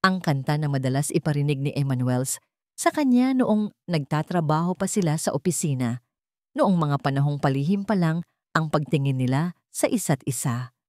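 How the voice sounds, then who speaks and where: A woman's voice: sits low, animated, moderate pace, no room sound, studio quality. A woman reading a scripted dramatic love story as its narrator.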